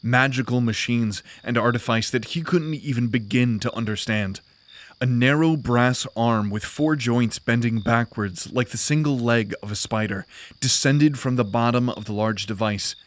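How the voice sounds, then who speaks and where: Grave male fantasy narrator, medium pitch. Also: normal speed. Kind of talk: dramatic scripted fantasy narration.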